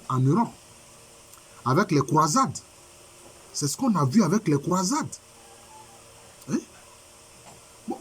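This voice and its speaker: A man's voice: a little high, slightly rough. A man preaching a sermon by video conference while suffering from a head cold, repeating points and asking rhetorical questions. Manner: repetitive, emphatic, rhetorical questions.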